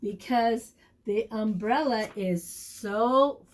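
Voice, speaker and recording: A woman's voice: fairly high pitch, very slow, darkish tone. A woman teacher leading a storytime and vocabulary lesson for young children, speaking expressively and encouragingly, with questions to her listeners and key words stretched out. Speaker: preschool teacher reading a picture book aloud.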